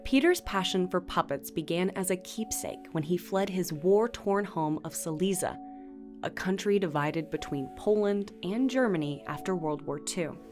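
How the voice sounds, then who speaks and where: A woman's voice: lowish voice, lively intonation, normal speed, a little mellow. A woman with an American accent reading scripted narration for a television feature. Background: background music.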